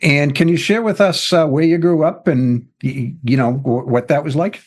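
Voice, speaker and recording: A man's voice: on the high side, moderate pace. A man hosting a podcast interview, chatty and self-deprecating, with lots of "you know" fillers. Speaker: podcast host. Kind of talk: casual interview chat.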